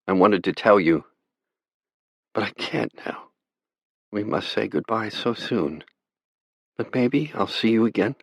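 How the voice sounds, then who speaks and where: A man's voice: lowish voice, a bit bright, no room sound, pitched above the performer's own voice. A man voicing a frightened, brave teenage girl in an audiobook, pleading and tender. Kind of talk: audiobook character voice.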